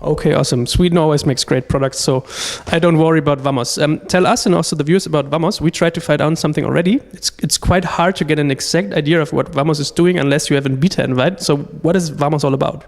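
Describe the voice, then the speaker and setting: A man conducting an interview, asking brisk, upbeat questions. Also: on the high side, fairly even intonation, creaky, in a large hall.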